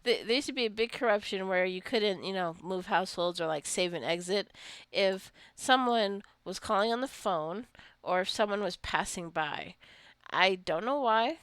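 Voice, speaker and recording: A woman's voice: mid-range voice, normal speed, hardly any pauses, fairly bright tone, clean tone, no echo. Chatty woman doing casual gameplay commentary. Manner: playfully scolding, talks to the game characters. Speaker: gamer narrating her own gameplay video. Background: life simulation game being played.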